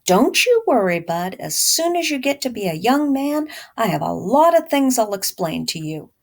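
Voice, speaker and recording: A woman's voice: fairly high pitch, sing-song, moderate pace, creaky, heavily acted. Lady reading as a storybook mom, firm but loving, insistent, setting her little boy straight. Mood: reassuring. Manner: emphatic, insistent. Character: firm, proud, loving mother lecturing her young son.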